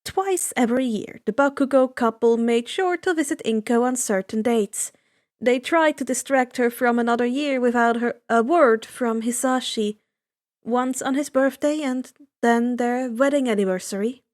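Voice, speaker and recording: A woman reading fan fiction aloud as expressive scripted narration. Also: on the high side, moderate pace.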